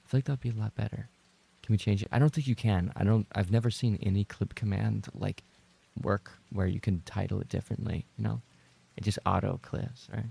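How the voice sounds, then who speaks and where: Very gravelly man livestreaming. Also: on the low side, steady tone, brisk, mellow. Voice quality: gravelly, creaky. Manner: playful mock-indignant, sweary, repeats phrases when flustered. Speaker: livestream host and roleplay voice actor. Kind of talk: casual livestream chat, reading and reacting to viewer messages.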